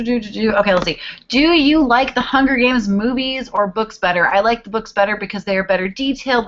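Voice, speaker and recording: A woman's voice: a little high, a bit bright, lo-fi sound. A woman in her twenties chatting casually from her bedroom, answering viewer questions and humming while she searches. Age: 20s. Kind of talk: casual chat. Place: small bedroom, indoors. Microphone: webcam video call.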